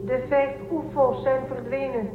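An actress playing a cold, authoritative guardian machine in a radio drama, measured, formal and stern. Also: female voice, sits high, little pitch movement, natural voice. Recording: distant, echoey, tinny sound, loud noise.

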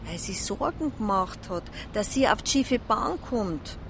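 An actress playing a gossipy, plain-spoken village newsagent in a radio drama, speaking in a folksy Bavarian accent. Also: female voice, mid-range voice, animated, a little slow, creaky, background noise. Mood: confiding. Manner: chatty, folksy. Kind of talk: naturalistic radio drama dialogue.

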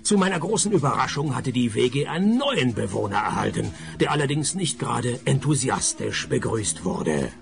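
A man playing a sarcastic private detective in a radio crime comedy, narrating and talking with deadpan dryness. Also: medium pitch, normal speed, gravelly, hardly any pauses, faint music behind. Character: wry, sarcastic male private detective narrating his case.

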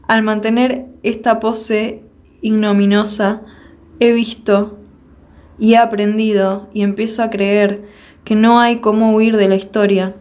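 A woman's voice: mid-range voice, a little mellow. Woman declaiming a poem. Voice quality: measured, declamatory delivery with rhetorical questions. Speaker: poetry reader. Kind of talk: dramatic poetry recitation.

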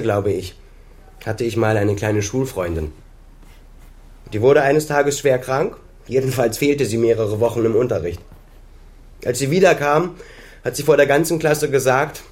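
An actor in a German radio crime drama playing a bitter, accusing son in his thirties, pointed and deliberate in his speech. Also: mid-range voice, lively intonation, a little mellow, natural voice. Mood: wistful, bitter. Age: 30s.

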